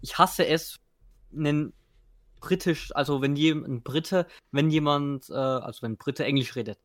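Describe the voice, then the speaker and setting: A man's voice: on the high side, normal speed, fairly bright tone. A young man chatting casually with a friend, blunt and outspoken in his opinions. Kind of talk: casual chat.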